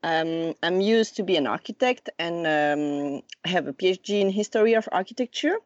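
Podcast guest: a woman in her thirties with a Swiss French accent, low voice, halting, non-native phrasing, on a dull line. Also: no room sound. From Lausanne, Switzerland. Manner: halting, searching for words, many fillers. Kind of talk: casual conversation. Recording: dull, dry remote recording.

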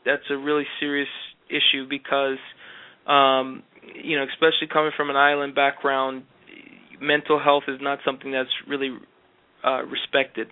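A man's voice: medium pitch, completely flat delivery, moderate pace. A man answering interview questions earnestly, with frequent fillers and restarts. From Jamaica. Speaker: interview guest. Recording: tinny phone-like sound.

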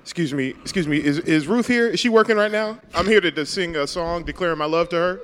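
A man's voice: a little high, quick pace, fairly bright tone, close to an everyday voice. A man improvising a lovesick, clingy, indignant suitor in a comedy parody of a radio play, whining and pleading. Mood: urgent. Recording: echoey hall sound.

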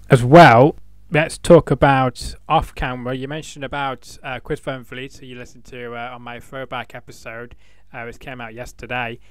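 A man's voice: mid-range voice, clear, resonant tone, dry recording. A man hosting a casual interview, chatty, with stumbling restarts and frequent laughs. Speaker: podcast host.

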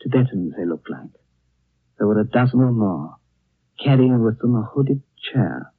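An actor playing a grave, reflective storyteller, narrating a 1930s radio drama in deliberate, evocative phrases. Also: male voice, fairly low pitch, animated, husky, no echo. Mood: solemn, reflective. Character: grave, reflective storyteller recalling a friend's adventure. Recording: thin, tinny 1930s radio recording.

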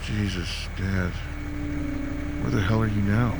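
Anxious fugitive, loud background noise. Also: male voice, fairly low pitch, fairly even intonation, takes it slowly, vocal fry. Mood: subdued, worried. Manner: questioning, exasperated, informal. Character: anxious, wisecracking young Brooklyn man on the run. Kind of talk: radio play acting. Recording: heavy background vehicle noise.

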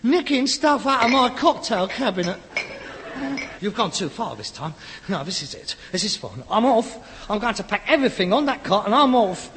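A man's voice: high voice, big pitch swings, moderate pace, fairly bright tone, a little hiss or hum. An actor playing a frustrated, pretentious rag-and-bone man of about forty with a working-class London accent, exasperated, grandiose and sarcastic in a sitcom. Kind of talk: scripted sitcom acting. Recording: reverberant hall, old sitcom recording.

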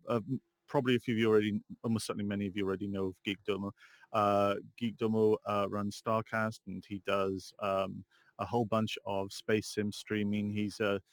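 A man's voice: fairly low pitch, dry recording. A man co-hosting a casual gaming podcast, talking with lots of ums and uhs and laughing easily. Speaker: podcast co-host. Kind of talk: casual chat.

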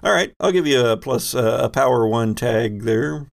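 A man's voice: medium pitch, expressive, unhurried, vocal fry. A man acting as game master, narrating scenes and explaining rules in a conversational way. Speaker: game master and host of a tabletop role-playing show.

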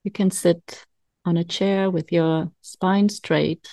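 Female meditation guide, lowish and very unhurried, calm and soothing, leaving long pauses, close dry sound. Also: dry recording. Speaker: meditation coach.

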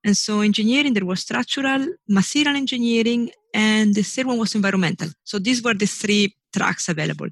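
A woman's voice: mid-range voice, a little fast, hardly any pauses, no room sound. A middle-aged woman from Italy speaks with an Italian accent, her answers loose and searching, full of restarts and "you know". She talks as an engineering professor chatting about her work. The sound is dry and close, with no room in it. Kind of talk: relaxed interview answers.

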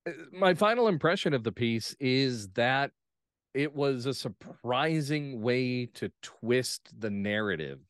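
A man talking casually and reflectively as an interview guest, phrasing his thoughts in measured pieces. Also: medium pitch, lively intonation, clear, resonant tone, no echo, clean studio sound. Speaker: guest playwright and actor. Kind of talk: casual discussion.

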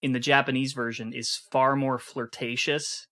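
A man's voice: mid-range voice, flat delivery, normal speed, pushed and bright. A man co-hosting a podcast, explaining game trivia in casual, eager chat. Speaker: podcast co-host.

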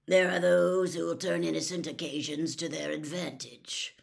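A woman voicing a gruff, suspicious old man, a wizard with a growling, menacing, sardonic delivery in a storybook reading. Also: fairly low pitch, expressive, a little slow, a bit bright, exaggerated voice. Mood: insistent, menacing.

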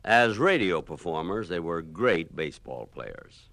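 A man's voice: sits low, very animated, measured pace, gravelly, a bit bright, a little hiss or hum. A man giving scripted, reminiscing narration as a radio documentary host.

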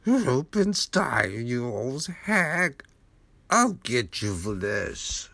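A man's voice: medium pitch, very animated, extremely slow, vocal fry, pushed and bright, no echo, heavily acted. A man playing a bitter, vengeful swindler in his forties in a radio play. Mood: vengeful muttering. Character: bitter middle-aged swindler in his forties, plotting revenge.

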